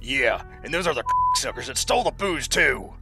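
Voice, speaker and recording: A man's voice: fairly high pitch, very animated, normal speed. A man voicing a hot-headed, whiny demon brawler in a cartoon comic dub, indignant and blustering. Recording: music clearly behind the voice.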